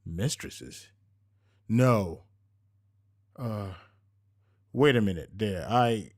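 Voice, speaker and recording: Middle-aged husband for a story, read by a man: low voice, soft-spoken, tender, a little awkward. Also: very slow. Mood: awkward, flustered. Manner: gentle, soft murmuring.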